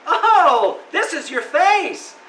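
An eager, gawky high-school boy, very high and bright. It is radio-sitcom acting in a roomy live space. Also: big pitch swings, extremely slow, heavily acted. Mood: eager, breezy. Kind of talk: old-time radio comedy acting. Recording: roomy live stage, faint noise.

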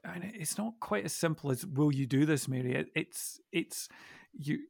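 A middle-aged man speaking as a podcast guest in a reflective discussion, thoughtful and halting, with deliberate pauses. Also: fairly high pitch, gravelly, a little mellow, breathy edge, no echo, studio quality. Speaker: podcast guest and church minister.

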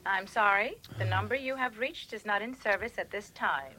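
An actress playing a courteous American telephone operator in a radio drama, with a formal, scripted manner. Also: female voice, mid-range voice, moderate pace, pushed and bright, a little hiss or hum, natural voice. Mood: impersonal. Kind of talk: 1980 radio drama acting.

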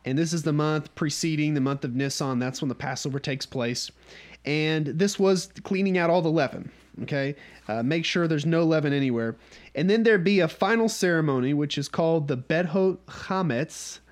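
A man's voice: fairly high pitch, normal speed. A man from North Georgia with a Southern US accent, leading a casual Bible study and often checking in with his listeners. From North Georgia, USA. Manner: conversational, often checks in with "okay?".

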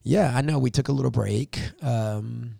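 Hoarse, gravelly-voiced man hosting a podcast. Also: mid-range voice, normal speed, dry recording, clean studio sound. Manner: laughs often, animated storytelling. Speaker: podcast co-host. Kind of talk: casual chat.

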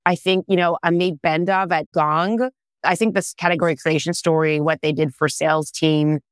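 Woman in her thirties from Israel, startup founder, conversational, smooth resonant voice, dry close sound. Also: low voice, steady tone, moderate pace, dry recording. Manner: thoughtful, leans on fillers like "you know" and "I mean". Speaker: startup founder and CEO, guest. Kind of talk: conversational interview answers.

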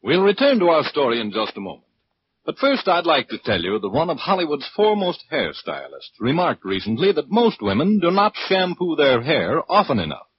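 A man's voice: a little high, wildly swooping pitch, fairly quick. An American man announcing a scripted commercial as a 1940s radio announcer. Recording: muffled 1940s radio, faint hiss.

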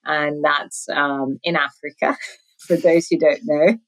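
A woman's voice: deep voice, fairly even intonation, slow, poor audio. A woman in her forties, from Sudan with a British accent, chatting as a podcast guest and laughing often. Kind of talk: conversational interview.

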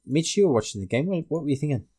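A man's voice: a little high, lively intonation, racing, warm tone, breathy edge. An Australian man hosting a sports podcast, casually discussing fantasy rugby league.

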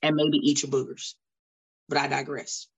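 A man in his thirties hosting a podcast, talking in a loud, profane and preachy way. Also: medium pitch, normal speed, breathy edge, dull audio. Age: 30s. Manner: animated, preachy, exclaims loudly. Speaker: podcast host. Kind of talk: casual, profane chat.

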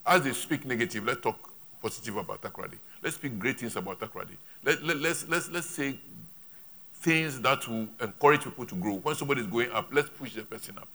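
Emphatic, bright-voiced male preacher. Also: mid-range voice, expressive. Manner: emphatic, repetitive, pauses for interpretation. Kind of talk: sermon with an interpreter. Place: church.

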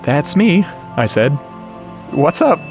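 A man playing a shy, earnest, nerdy sound-effects man, telling his story conversationally and haltingly in a radio drama, with a wistful manner. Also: fairly high pitch, very animated, vocal fry, exaggerated voice. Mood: wistful, smitten. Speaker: narrator and lead character. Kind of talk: first-person radio drama monologue. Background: music and sound effects.

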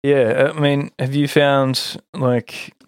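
A man's voice: mid-range voice, little pitch movement, slow, clear, resonant tone, dry recording, studio quality. A man chatting casually as an interviewer, in an easygoing, slightly tentative and agreeable way. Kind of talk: casual chat.

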